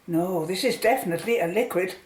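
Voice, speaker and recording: A woman acting an excited, upbeat polar scientist in a radio play. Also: fairly low pitch, brisk, echoey room, natural voice. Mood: amazed, curious.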